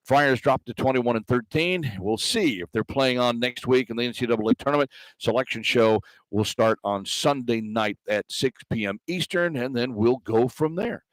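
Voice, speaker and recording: A male radio sports broadcaster delivers a conversational post-game wrap-up. His voice sits at a medium pitch, and the sound is very dry, with little sense of the space around him. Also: normal speed, dry recording, poor audio.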